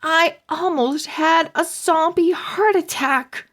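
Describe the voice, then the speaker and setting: A woman voicing a spunky young girl who gets easily spooked, exclaiming in a shrill voice. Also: takes it slowly, a bit bright, exaggerated voice. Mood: spooked, indignant.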